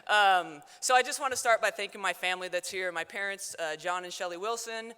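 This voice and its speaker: A young woman with a mixed South Dakota and Texas accent gives a loud, fast, joking acceptance speech at a banquet. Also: mid-range voice, clear, resonant tone. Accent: mix of South Dakota and Texas. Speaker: hall of fame inductee giving acceptance speech. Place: banquet hall. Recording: hall reverb.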